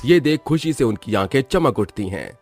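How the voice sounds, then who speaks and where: A man's voice: medium pitch, lively intonation, normal speed, darkish tone. A man telling a scripted children's story as its narrator. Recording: music behind the voice.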